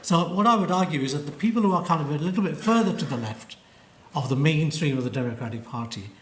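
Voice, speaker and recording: A man's voice: on the high side, fast talker, slight background noise. A man giving an academic panel talk, arguing his points and trailing off with "and so on". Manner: argumentative, hedging with "and so on". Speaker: panel speaker. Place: lecture hall. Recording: echoey lecture hall.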